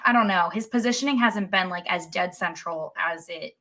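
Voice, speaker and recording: A woman's voice: lowish voice, normal speed, bright, forceful tone. A woman co-hosting a casual sports discussion, thinking aloud in a tentative way. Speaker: podcast co-host.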